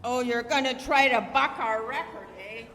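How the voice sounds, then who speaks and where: A woman's voice: fairly high pitch, moderate pace, a bit bright, close to an everyday voice. A woman playing a menacing male street gangster boss in old-time radio style, slangy and commanding. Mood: menacing, bossy. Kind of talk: old-time radio crime drama acting. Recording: echoey hall.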